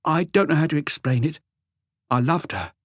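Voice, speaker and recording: A man voicing an anguished, lonely, meek husband in an audiobook, pleading and rambling haltingly through a confession. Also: fairly high pitch, dry recording, voice pushed up, close to an everyday voice. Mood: anguished, devoted. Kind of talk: audiobook character dialogue.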